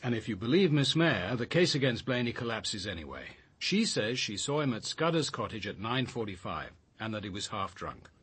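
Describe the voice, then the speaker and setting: An actor playing an analytical detective with an English accent, speaking precisely and with understatement in a radio drama. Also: male voice, lowish voice, big pitch swings, moderate pace, hardly any pauses, a bit bright, slightly rough, heavily acted. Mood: measured, sceptical. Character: measured, analytical male detective visiting his aunt's village. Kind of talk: naturalistic British radio drama acting.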